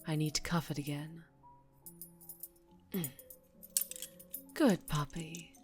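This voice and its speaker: Woman doing asmr mafia boss lady, lowish slow purr, teasing n threatening, bit of music behind. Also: fairly even intonation. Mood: teasing, probing. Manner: slow, teasing, condescending purr with quiet menace. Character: dangerously charming, menacing female mafia boss, teasing and possessive. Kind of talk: ASMR roleplay acting.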